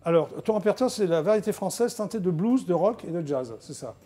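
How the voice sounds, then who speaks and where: A woman hosting a TV talk show, asking brisk chatty questions. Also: on the low side, expressive, dark tone. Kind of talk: casual TV interview.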